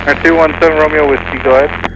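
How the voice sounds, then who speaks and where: A male air traffic controller giving brisk, formal instructions over the radio. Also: fairly high pitch, little pitch movement. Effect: aircraft radio.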